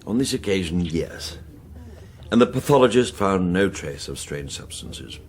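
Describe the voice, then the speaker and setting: An actor playing an urbane older British intelligence agent and uncle, wry, avuncular and unflappable, speaking in a leisurely upper-class manner in a radio drama. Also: male voice, low voice, animated, measured pace, darkish tone, slight background noise. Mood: droll, reassuring. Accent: British English.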